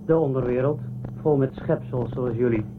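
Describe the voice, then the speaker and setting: An actor playing a sinister, mock-courteous, condescending captain of an underground city in a radio drama. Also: male voice, medium pitch, normal speed, natural voice. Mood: haughty. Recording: thin phone-like band, loud noise.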